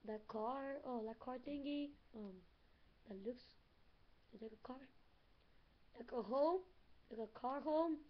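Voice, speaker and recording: The speaker is a high-pitched woman who talks slowly and playfully as she makes up lines for toy figures. She stumbles and restarts, filling gaps with ums and giggles. The recording is muffled and lo-fi, made in a small dim room at home. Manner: giggly, halting, full of fillers and restarts. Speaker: home-video maker voicing toy figures. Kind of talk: playful improvised toy-movie chatter.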